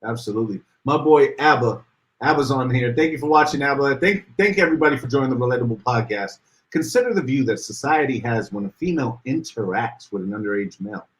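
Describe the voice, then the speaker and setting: A man co-hosting a casual podcast livestream, reading out viewer comments and getting animated as he talks. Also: fast. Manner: animated, rises in pitch when worked up. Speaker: podcast co-host.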